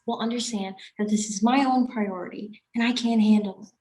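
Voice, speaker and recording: High-voiced exasperated college daughter played by a woman. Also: fairly even intonation, fairly quick, mellow, a little hiss or hum, lightly acted. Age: young adult. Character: exasperated, earnest college-student daughter standing up for herself. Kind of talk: stage acting in a student one-act play. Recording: echoey stage room.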